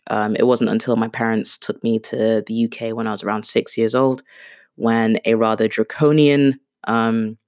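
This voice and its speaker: Chatty, gravelly-voiced woman from Kenya. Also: extremely low pitch, darkish tone, dry recording, clean studio sound. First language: Swahili. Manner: rambling, chatty, self-deprecating with many fillers. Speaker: podcast host. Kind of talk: unplanned casual monologue.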